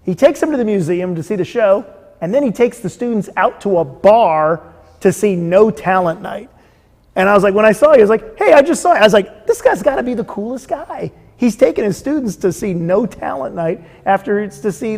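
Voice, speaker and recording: Host, male, 50s, high gravelly voice, lively storyteller, big reverberant studio. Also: fairly quick. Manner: animated storytelling with lively gestures. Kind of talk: casual interview chat. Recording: long reverb of a big room.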